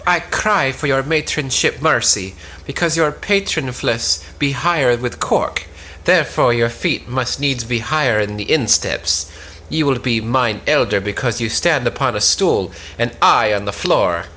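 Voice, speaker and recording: A young woman plays a haughty gentlewoman with a sharp tongue. Her delivery is bright and very animated, slightly low for a woman, scolding in Elizabethan prose over faint background noise. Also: a little slow, hardly any pauses, heavily acted, rough recording. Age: young adult. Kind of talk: cold read of Elizabethan stage comedy.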